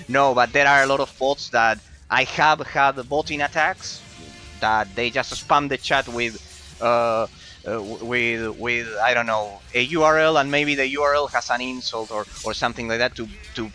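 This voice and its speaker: Bright-voiced Argentine man streaming. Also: medium pitch. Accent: Argentine Spanish. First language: Spanish. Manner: repeats words and phrases emphatically. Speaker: game streamer. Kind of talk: casual live-stream chat with viewers. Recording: faint game music behind voice. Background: faint music.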